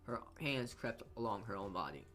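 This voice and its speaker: A man's voice: lowish voice, expressive, moderate pace, a bit bright. A man reading visual novel narration aloud as a let's play host, stumbling on words and repeating phrases.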